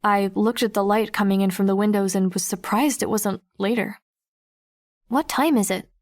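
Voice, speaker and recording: A woman playing a guarded, observant teenage girl who narrates an audiobook in the first person, reflective and measured. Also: mid-range voice, steady tone, natural voice. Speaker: narrator and lead character.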